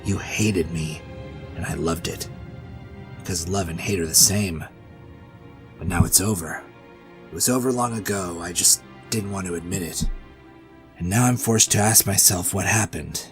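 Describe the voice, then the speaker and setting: Guy playing low brooding ex-villain, wistful and obsessive, slow dramatic pauses, eerie music behind. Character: reformed male supervillain, brooding, obsessive, wistful. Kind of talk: dramatic monologue in comic fan dub.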